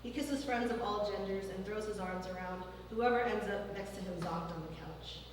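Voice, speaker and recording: A woman reading her fiction aloud at a live event in a large former church hall, in a measured, even way. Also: mid-range voice. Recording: distant mic in a very echoey hall, faint noise.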